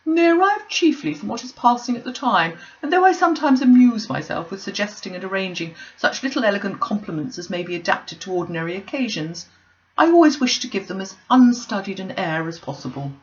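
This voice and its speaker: A woman's voice: medium pitch, a little fast, dull audio, natural voice. Woman doing a pompous, fawning clergyman. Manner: pompous, long-winded, flattering.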